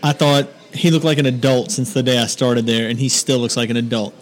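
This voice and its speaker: A man's voice: mid-range voice, fairly even intonation, fairly quick. A man in his thirties co-hosting a podcast, chatting casually with a joking, teasing, profane manner. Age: 30s. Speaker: podcast co-host.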